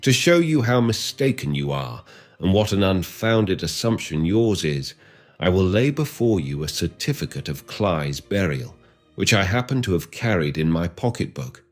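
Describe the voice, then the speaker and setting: A man doing a sly, deep-voiced spy. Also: sing-song, normal speed, faint music behind. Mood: smooth, reasonable. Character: smooth, sly middle-aged male spy, ingratiating. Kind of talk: audiobook character reading.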